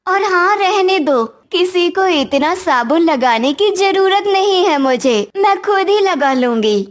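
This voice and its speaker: Woman acting a very high-pitched, vain young daughter-in-law. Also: animated, measured pace. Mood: scornful. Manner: haughty, taunting. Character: vain, spiteful young daughter-in-law proud of her beauty. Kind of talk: cartoon moral-story acting.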